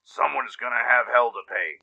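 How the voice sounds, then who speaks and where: Male actor as surly griffin, gravelly, grating, forced, forceful tone, menacing grumble, audio drama. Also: mid-range voice, normal speed, no room sound, dull audio, pitched above the performer's own voice, heavily acted. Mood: grumbling.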